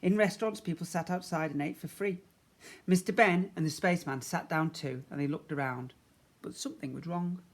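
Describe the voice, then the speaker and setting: A man's voice: on the high side, fairly quick. A man, a primary school teacher, reading a story expressively to young children.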